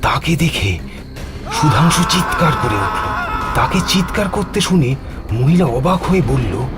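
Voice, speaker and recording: A man narrates a horror tale with a very lively, swooping delivery and a slightly high pitch. His telling is dramatic and suspenseful, with music clearly behind him. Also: measured pace. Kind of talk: scripted horror story narration.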